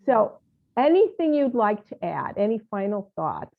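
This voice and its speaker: A woman's voice: mid-range voice, sing-song, unhurried. Encouraging woman host on a tinny line. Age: older. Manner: enthusiastic, encouraging, many "you know" fillers. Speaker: podcast host. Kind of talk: casual interview chat.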